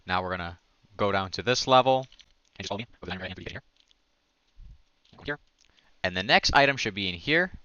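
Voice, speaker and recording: A man's voice: low voice, animated, brisk, pauses often, bright, forceful tone, lo-fi sound. A man giving a casual, enthusiastic walkthrough of a game update, like a gaming video creator.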